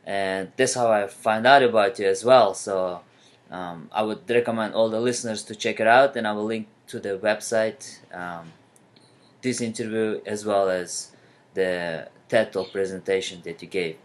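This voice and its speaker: A man from Kyrgyzstan, a native Kyrgyz speaker, hosting an interview podcast and asking questions in a soft, slightly halting way. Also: fairly low pitch. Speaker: podcast host.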